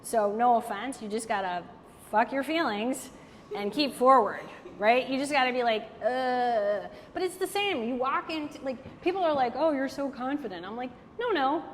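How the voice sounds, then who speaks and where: A woman's voice: high-pitched, normal speed. A woman giving a casual, joking talk on networking to a live audience and taking their questions. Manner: candid, joking, animated, frequent "like". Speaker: networking speaker. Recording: hall reverb, faint background noise.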